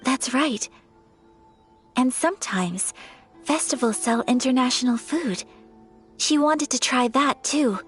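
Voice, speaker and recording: A woman playing a gentle, refined young noblewoman in a video game, speaking politely and earnestly in a formal, measured way. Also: high voice, soft background music. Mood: wistful, reflective. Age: young adult. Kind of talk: video game character acting.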